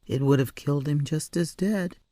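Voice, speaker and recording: A woman's voice: low-pitched, normal speed, dark tone, close to an everyday voice. A woman voicing a tough, protective female cat burglar with a curt, stern manner, in an audiobook story. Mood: probing, surprised.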